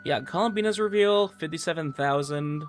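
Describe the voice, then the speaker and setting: A man with a fairly high voice hosts a casual gaming livestream. He talks conversationally and a bit haltingly, repeating words as he answers chat, with game music playing behind him. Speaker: livestream host, gamer.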